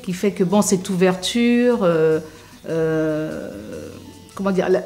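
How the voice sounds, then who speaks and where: A woman from French Guiana talks through her memories in an interview, her voice sitting on the low side. She is hesitant and thoughtful, pausing often and restarting her sentences, with quiet music in the background. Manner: hesitant, reflective, frequent fillers and restarts. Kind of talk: personal testimony in an interview.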